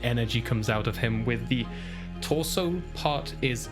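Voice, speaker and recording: A man's voice: mid-range voice, measured pace. A man improvising narration of his character in a tabletop game, casual, rambling and repetitive. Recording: music and guitar behind voice.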